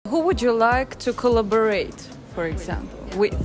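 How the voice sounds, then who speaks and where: A woman's voice: fairly high pitch, normal speed, music in the background. A young woman co-hosting a casual interview, asking questions playfully and laughing along. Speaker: co-host, interviewer. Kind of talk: casual conversation in English.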